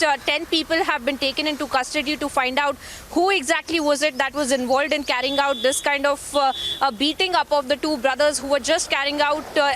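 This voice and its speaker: A woman reporter with an Indian accent files a live report in wordy, run-on sentences. Her voice is high and bright. The link is reverberant, with some background noise. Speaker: news reporter.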